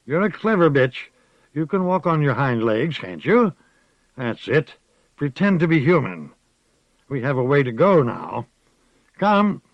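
A man's voice: a little high, sing-song, measured pace, pauses often, dry recording, dull audio, pitched above the performer's own voice. A man voicing a cruel grown man who mocks and orders his captive with contempt. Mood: mocking. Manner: mocking, contemptuous.

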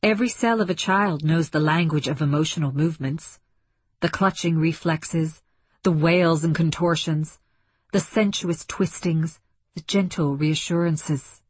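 A narrator, a woman, plays an impassioned female delegate in a science fiction audiobook. She declaims earnestly and emphatically, with a husky edge and a dry, close sound. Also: low voice, a little slow, no room sound. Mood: urgent, insistent. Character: intense, impassioned woman delegate awakening to alien insight.

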